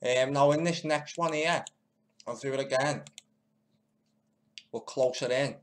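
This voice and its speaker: A man with a middle-of-the-road pitch hosts a live stream. He mocks, laughs and swears through loose commentary, drifting between loud jeers and quiet muttering. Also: a little slow, slight background noise. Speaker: channel host.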